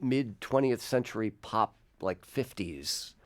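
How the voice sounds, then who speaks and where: A man hosting a conversational studio music interview, asking thoughtful questions in a halting, exploratory way. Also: lowish voice, lively intonation, dry recording. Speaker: radio host and interviewer. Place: radio studio.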